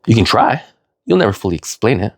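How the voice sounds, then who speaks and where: A middle-aged man with a sniffly cold, talking in a rambling, earnest vlog monologue, full of fillers and "you know", now and then jumping into loud high emphasis. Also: very animated, fast, creaky, no room sound, studio quality. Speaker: vlogger.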